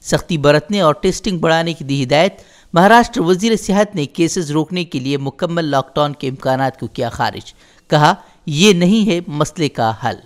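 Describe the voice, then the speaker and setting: A man's voice: on the high side, expressive, normal speed. A man presenting a scripted news bulletin, reading headlines in a formal, declarative manner. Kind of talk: scripted headline news bulletin read in Urdu-heavy register.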